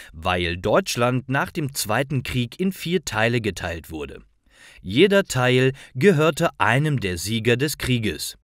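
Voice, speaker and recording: A man reading scripted stories for language learners, phrasing each short sentence deliberately and enunciating clearly. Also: mid-range voice, dry recording, clean studio sound. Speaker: narrator of German learning stories.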